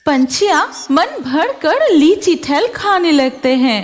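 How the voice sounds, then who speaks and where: A woman narrates a scripted cartoon story in a high voice. Her intonation swings widely while the pace stays slow. Music plays clearly behind her. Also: big pitch swings.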